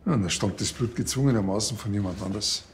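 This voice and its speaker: A man's voice: on the low side, fast, a little hiss or hum, lightly acted. An actor playing a stubborn, self-important provincial policeman in a TV crime comedy. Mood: matter-of-fact. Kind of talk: naturalistic TV crime comedy acting.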